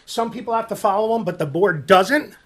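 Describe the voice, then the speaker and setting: A man's voice: sits high, a little fast. A man, a homeowner making a public comment at a planning board meeting. He speaks in a pointed, argumentative way. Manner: pointed and argumentative, growing forceful.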